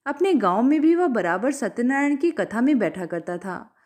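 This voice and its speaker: A woman reading a story aloud as a scripted, expressive narration. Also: on the high side, normal speed. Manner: expressive, acts out dialogue.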